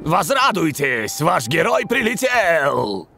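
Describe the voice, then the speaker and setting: A man's voice: on the high side, very animated, slow pace, gravelly, music in the background, heavily acted. An actor playing a vain, dim young male footballer stand-in in a dubbed cartoon, theatrical and self-important. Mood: boastful.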